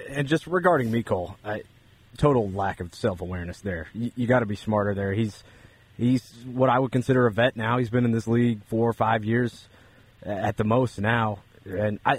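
A man's voice: on the low side, brisk, slightly rough. An American man co-hosting a sports radio show, talking casually in a plain-spoken, informal way.

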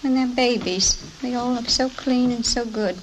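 A woman's voice: a little high, moderate pace, close to an everyday voice. An actress playing a wistful, bewildered middle-aged mother, rambling plaintively in 1950s radio drama style. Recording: noisy old radio recording.

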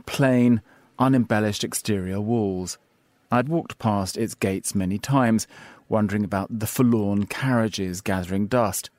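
A man reading his own scripted essay aloud in a measured, wry storytelling manner. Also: on the low side, darkish tone, dry recording. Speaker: columnist reading his piece. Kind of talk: scripted narration.

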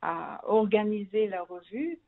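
Over a narrow, tinny phone line, a middle-aged woman from Belgium answers as an interview guest. Her pitch is medium, and she often restarts phrases as she searches for words. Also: lively intonation, a little slow. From Brussels, Belgium. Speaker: magazine founder, interview guest.

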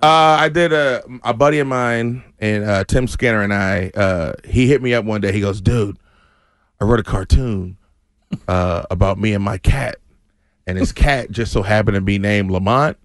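A man from Boston, a comedian guest on a morning radio show, telling stories in an animated way and laughing often. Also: on the low side, normal speed, no echo, studio quality. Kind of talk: casual radio chat.

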